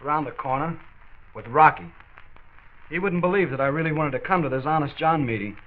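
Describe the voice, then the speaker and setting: A man's voice: fairly high pitch, a little fast, lightly acted. An actor playing an earnest, plain-spoken young man in a mid-century radio drama. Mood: sheepish, relieved. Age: young adult. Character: earnest young man resisting his old bad crowd. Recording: muffled old radio recording, faint noise.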